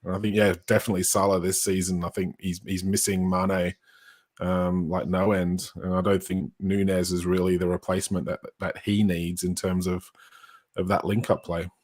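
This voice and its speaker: A man's voice: low voice, little pitch movement, normal speed, darkish tone, slightly rough. A man from Australia with a mixed Australian and British accent, chatting casually about football as a co-commentator.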